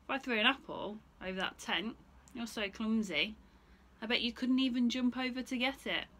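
A woman's voice: on the high side, normal speed, fairly bright tone. Woman playing a cheeky, taunting young boy. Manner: taunting, daring. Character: cheeky, clever young boy goading animals.

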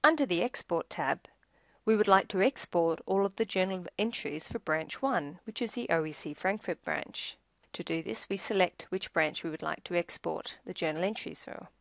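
A woman reading scripted instructional narration for a software tutorial in a measured, explanatory way. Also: fairly low pitch, creaky, dry recording.

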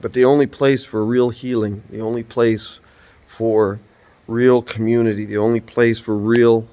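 A man in his forties giving an earnest, informal sermon, filmed on a phone held at arm's length inside an empty old church. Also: dark tone. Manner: earnest, preaching, rises and falls in pitch. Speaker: preacher.

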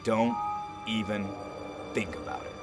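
A man's voice: lowish voice, steady tone, a little slow, loud music behind the voice, close to an everyday voice. A man playing a greedy, volatile scientist villain in an audio drama. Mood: menacing, scornful. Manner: volatile, sneering, swings from menace to shouting. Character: greedy, threatening male scientist turned desperate villain. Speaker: voice actor.

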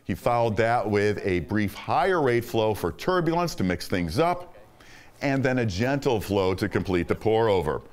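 A man's voice: fairly low pitch, expressive, moderate pace. A middle-aged man hosting a show, reading an enthusiastic, upbeat scripted voice-over. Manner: enthusiastic, upbeat presenter delivery. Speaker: host.

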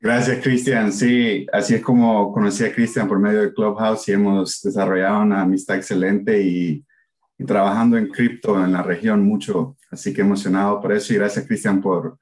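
A man with a Salvadoran accent and a lowish voice. He speaks as an investor introducing himself on a video call at an online event. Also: flat delivery, normal speed, a little mellow, poor audio. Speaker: investor, panel moderator.

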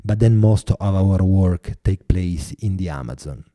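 Deep, dark-voiced Italian man. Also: measured pace, dry recording. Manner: thoughtful, many hesitations and restarts. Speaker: guest. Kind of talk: podcast interview.

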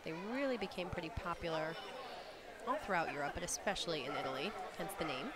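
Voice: mid-range voice, animated, quick pace, over a noisy crowd. A co-commentator at a live dog show, giving breed history in an informative manner and laughing easily.

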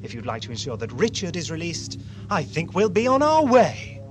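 A man's voice: a little high, wildly swooping pitch, moderate pace, faint music behind. A man playing a wry, principled time-traveling hero in an audio drama, sardonic and theatrical. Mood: defiant, wry. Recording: noisy amateur audio drama recording.

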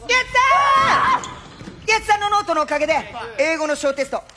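A man playing an excitable, eager high school volleyball boy with loud, exuberant stage acting. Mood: overjoyed. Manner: exuberant, shouty, drawn-out cries. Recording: noisy live stage recording.

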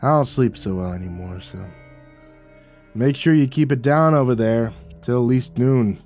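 A man reading a story puts on a bitter old war veteran neighbor who grumbles, swears, and hands out orders. His voice sits mid-pitch for a man, and faint music plays behind him. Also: moderate pace, darkish tone, natural voice. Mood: gruff, bossy. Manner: blunt, crude, grumbling.

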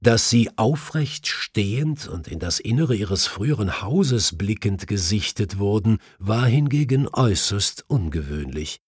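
A man's voice: lowish voice, very animated, unhurried, hardly any pauses, slightly rough, dry recording, clean studio sound. A man narrating a mystery audiobook in a dramatic, scripted storytelling style.

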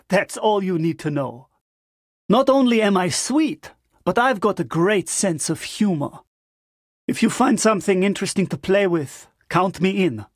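High-voiced, cocky special-forces operator. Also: male voice, dry recording, clean studio sound. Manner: playful, self-assured. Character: upbeat, vain, joking male special-forces shield operator. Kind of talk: video game character voice lines.

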